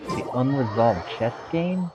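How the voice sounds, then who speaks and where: A man's voice: mid-range voice, expressive, unhurried. A man streaming a game live, making casual commentary in short remarks. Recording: muffled mic over game music.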